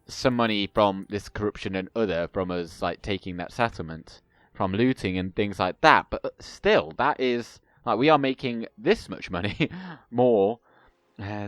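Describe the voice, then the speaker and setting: A man's voice: fairly low pitch, a little slow, fairly bright tone, clean tone, no room sound. A young man with a cold and a bunged-up nose, chatting casually as he plays a strategy game and rambling with frequent self-corrections. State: congested with a cold. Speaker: gaming video host.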